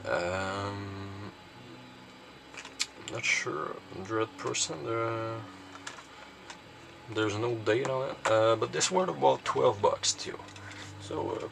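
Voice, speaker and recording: A man's voice: lowish voice, creaky, background noise. A man presenting his collection in a casual, unscripted show-and-tell, rambling and hesitant with lots of filler words.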